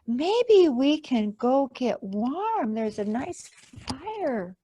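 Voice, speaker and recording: A woman voicing a small, patient but worried female mouse in a children's storybook, gently and expressively. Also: high-pitched, very animated, very slow, a little hiss or hum, voice pushed up, exaggerated voice. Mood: hopeful.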